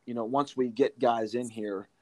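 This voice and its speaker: A man's voice: medium pitch, fairly even intonation, normal speed, no echo. A man speaking as a college basketball coach in an interview, explaining his approach emphatically and repeating points for stress. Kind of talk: interview answers about coaching.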